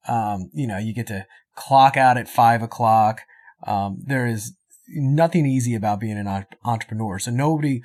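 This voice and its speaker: A man's voice: mid-range voice, darkish tone. A man hosting a podcast interview, talking in a chatty, rambling way with many fillers. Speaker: podcast host. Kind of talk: casual interview chat.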